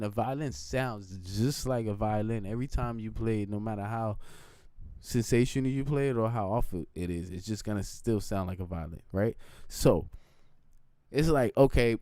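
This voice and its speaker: Male streamer, low relaxed voice, informal off-the-cuff monologue, conversational check-ins, dry close-mic sound. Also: clean tone, dry recording. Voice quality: deep, low voice. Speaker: live streamer. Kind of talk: casual rambling monologue to a stream audience.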